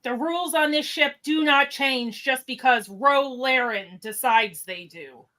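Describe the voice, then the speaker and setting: A woman's voice: high voice, measured pace, bright, forceful tone. A woman playing an agitated, by-the-book male first officer in an amateur radio drama, scolding and exasperated. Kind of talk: amateur radio theatre reenactment.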